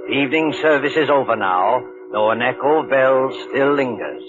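A man narrating a 1940s radio drama in a measured, atmospheric way. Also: medium pitch, lightly acted. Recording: muffled old radio recording with music.

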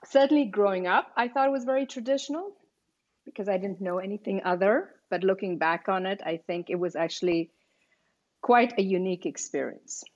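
A woman who grew up in Kuwait, telling her story as a podcast guest in a thoughtful, measured way. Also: mid-range voice, darkish tone, muffled recording. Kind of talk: casual podcast interview.

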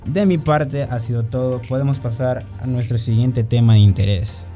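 Salvadoran male radio co-host, very warm dark tone, faint music bed. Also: medium pitch, moderate pace. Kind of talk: scripted radio segment.

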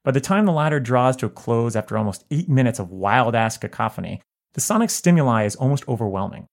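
A man narrating a written album review in a measured, articulate way. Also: medium pitch, expressive, gravelly. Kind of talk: scripted narration.

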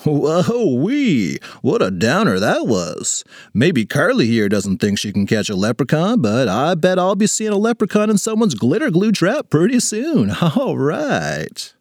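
A man's voice: on the high side, wildly swooping pitch, measured pace, hardly any pauses, no room sound, studio quality, heavily acted. A man playing a folksy, teasing school principal addressing an assembly in a children's story. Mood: teasing, jovial.

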